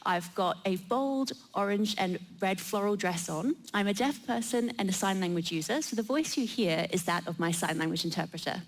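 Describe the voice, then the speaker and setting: A woman speaks as a sign language interpreter at a live panel, giving an introduction on someone's behalf in a medium-pitched voice. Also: normal speed, few pauses.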